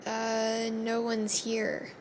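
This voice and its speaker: Woman as a wry young fairy newcomer, high-pitched. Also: monotone, drawn-out words, slight background noise. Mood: unsure, skeptical. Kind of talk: amateur voiced roleplay acting.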